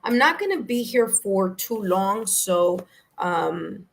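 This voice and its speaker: Raspy-voiced New York woman streaming. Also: medium pitch, animated, slow pace. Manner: friendly, chatty, laughs easily. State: slightly hoarse, raspy voice. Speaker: tarot reader hosting a live stream. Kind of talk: casual live-stream chat, greeting viewers.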